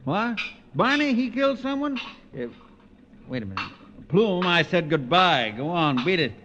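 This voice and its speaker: High-voiced, swooping fat garage boss. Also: male voice, big pitch swings, normal speed, a bit bright, exaggerated voice. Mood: suspicious. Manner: chuckling, wheedling, blunt. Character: fat, jovial but hard-bargaining male garage owner. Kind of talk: 1940s radio acting. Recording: dull, faintly noisy old radio recording.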